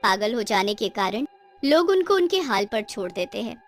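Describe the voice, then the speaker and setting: A woman's voice: a little high, big pitch swings, moderate pace, soft background music. A woman reading dramatic scripted narration for a cartoon horror story.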